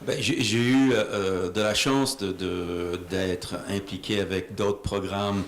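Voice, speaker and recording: A man interpreting interview answers in a halting way, often searching for his words. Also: sits low, steady tone. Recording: echoey room with faint noise.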